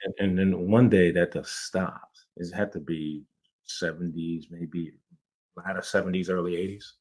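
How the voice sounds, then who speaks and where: A middle-aged man from Jamaica who grew up in New York talks as a guest on a podcast. His voice sits on the low side, and he rambles with eager restarts as he tells stories from his youth. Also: fairly even intonation, a little slow, darkish tone, husky. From Jamaica, raised in New York. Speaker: playwright, guest. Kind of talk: casual interview storytelling.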